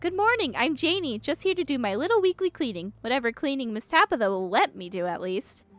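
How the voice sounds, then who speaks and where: A woman's voice: high-pitched, very animated, brisk, fairly bright tone, pitched above the performer's own voice. A woman voicing a sweet, eager, chatty small-town cleaning woman in a game, gushing and folksy. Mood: cheerful.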